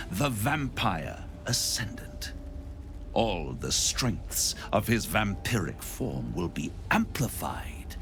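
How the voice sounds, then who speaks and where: An actor playing a suave, menacing devil in a video game, speaking in a theatrical, deliberate and grandiloquent way. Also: male voice, sits low, vocal fry, noisy background, heavily acted. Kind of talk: dramatic video game acting.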